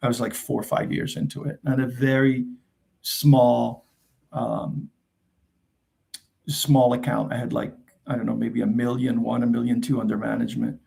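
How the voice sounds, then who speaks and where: A middle-aged man with a New Jersey accent hosts a live Q&A in a gravelly, creaky voice. He rambles and chats, circling back and restarting his sentences. Portuguese is his first language, and his pitch sits at a middle level for a man. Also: flat delivery, moderate pace, mellow. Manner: rambling, chatty, frequent fillers and restarts. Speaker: host. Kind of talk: casual live Q&A chat.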